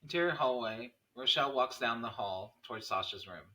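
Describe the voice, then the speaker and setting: A man's voice: mid-range voice, lively intonation, a little fast, sharp tone, poor audio. A man reading stage directions aloud as narrator of a screenplay table read.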